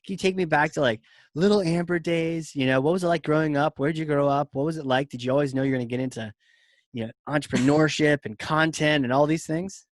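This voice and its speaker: A man's voice: fairly high pitch, quick pace, no echo, clean studio sound. A man hosting a podcast, talking loosely and playfully and rambling with lots of "like". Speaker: podcast host. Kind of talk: casual interview chat.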